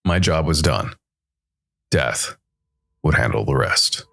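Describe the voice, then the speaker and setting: A man voicing a weary, somber jazz musician who narrates a noir story in a brooding, deliberate, dramatic way. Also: extremely low pitch, animated, gravelly, a bit bright, voice pushed down, heavily acted. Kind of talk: scripted noir first-person narration.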